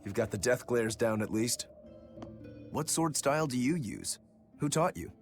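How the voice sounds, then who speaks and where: A man's voice: medium pitch, expressive, normal speed, faint music behind, natural voice. An actor playing an easygoing, teasing male demon swordsman who likes his drink, in a video game. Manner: wry, teasing, laid-back. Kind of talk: English video game voice acting.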